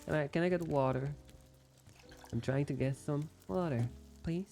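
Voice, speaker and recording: A man's voice: a little high, moderate pace, vocal fry, a little mellow, faint music behind. Playful rambling young male streamer. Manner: rambling, playful, self-deprecating. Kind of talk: casual chat while gaming.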